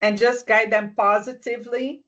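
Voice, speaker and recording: A mentor talks through workplace advice on a video call, gently and with frequent restarts. The voice sits in a middle pitch, and the call audio comes through a little dull and muffled. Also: level tone, moderate pace. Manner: soft-spoken, restarts often, checks understanding. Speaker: business mentor. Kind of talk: advice in a live online session.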